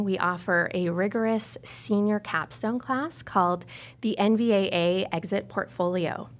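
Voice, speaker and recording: An American woman speaking as an interview guest, an articulate teacher explaining and promoting a school program. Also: medium pitch. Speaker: arts teacher, podcast guest. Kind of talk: informational interview answers.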